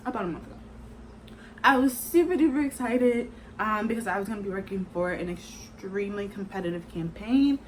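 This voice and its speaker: A young woman in her twenties chats straight to camera in a loose, rambling way, with plenty of "like" and "kinda". Her pitch sits in an ordinary middle range. A faint hiss runs under the recording. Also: rough recording. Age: 20s. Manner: chatty, rambling, lots of "like" and "kinda". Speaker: vlogger. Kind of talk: casual talk to camera.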